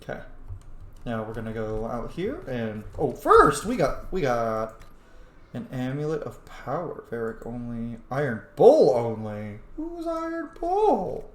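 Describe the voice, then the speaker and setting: A man's voice: medium pitch, big pitch swings, measured pace. A man giving casual let's play commentary while roleplaying a video game, rambling and thinking aloud. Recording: roomy echo, faint background noise. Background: video game ambience.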